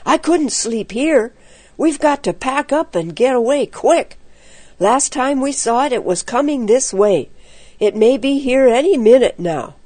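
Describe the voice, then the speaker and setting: Lady doing a scared excitable boy in a story, voice jumps up high, urgent and insistent. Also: a little slow, husky, no echo, heavily acted. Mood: panicked. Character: frightened, excitable young boy camper.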